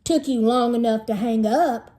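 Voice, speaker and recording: A woman's voice: fairly high pitch, moderate pace, dark tone, lightly acted. A woman reading a storybook as a reluctant, wry fourth-grade boy, plain-spoken and questioning. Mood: jealous.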